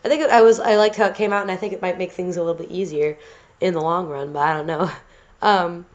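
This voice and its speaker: A woman with a stuffy, congested nose, hosting her art channel in loose, rambling commentary. She keeps restarting her sentences and filling pauses with "um" and "uh." The recording sounds a little dull and lo-fi. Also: on the low side, fairly even intonation. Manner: rambling, many fillers and restarts. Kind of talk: casual rambling commentary over drawing video. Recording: slightly dull lo-fi home recording.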